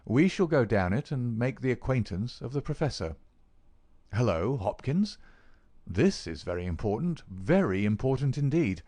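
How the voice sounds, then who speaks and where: Man playing a assured detective, medium pitch. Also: sing-song, normal speed, dark tone, no room sound. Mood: decisive. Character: brisk male detective, self-assured, courteous, keenly observant.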